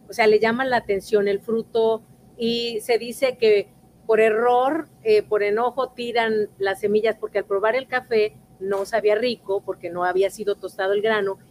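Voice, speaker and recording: A woman hosting an arts show, asking her guest curious, animated questions. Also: medium pitch, slight background noise, rough recording. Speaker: host. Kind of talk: casual interview.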